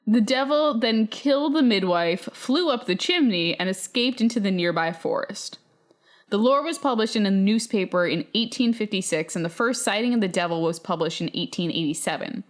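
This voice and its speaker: A woman co-hosting a podcast, reading listener stories aloud in a casual, irreverent way with profane, wry asides. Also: medium pitch. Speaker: podcast co-host.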